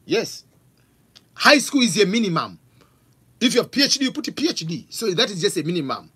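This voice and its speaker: A man's voice: sits high, fairly quick, breathy edge. A man in his forties from Tanzania with a Tanzanian accent, hosting a live question-and-answer stream from a home office on a studio mic, reading out viewer questions. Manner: animated, gestures a lot, reads out questions. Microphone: studio mic on stand with pop filter.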